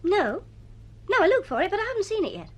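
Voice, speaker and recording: A woman's voice: very high-pitched, big pitch swings, quick pace. An actress playing a wounded, sceptical woman in a radio drama, blunt, emotional and challenging. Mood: disbelieving, scornful.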